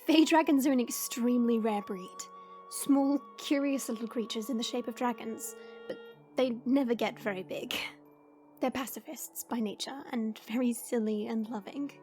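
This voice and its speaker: A woman playing a noble, composed dragon woman in a fantasy audio drama, precise and formal, knowing and gently scolding. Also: a little high, moderate pace, soft background music, lightly acted. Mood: composed, explaining.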